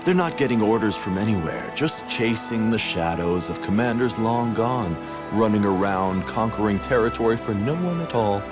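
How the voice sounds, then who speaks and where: An actor voicing a sly, playful mastermind in a video game, condescending and teasing, with theatrical drawn-out delivery. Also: male voice, on the low side, lively intonation, lightly acted. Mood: mocking, chatty. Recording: music under the voice.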